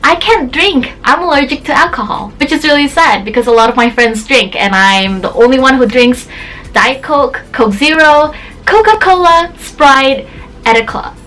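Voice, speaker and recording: A woman's voice: sits high, normal speed, fairly bright tone, soft background music, poor audio. Bubbly young woman chatting to camera. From Indonesia. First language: Indonesian. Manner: chatty, bubbly, many "like" fillers. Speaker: beauty vlogger. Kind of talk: casual chat to camera.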